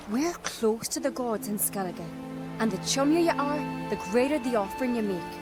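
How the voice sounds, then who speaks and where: A young island noblewoman acted by a woman in a fantasy game. Her voice is fairly high and she talks with frank, headstrong earnestness, as if telling a tale, over clear background music. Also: lightly acted. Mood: placating. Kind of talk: video game cutscene acting. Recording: music behind the voice.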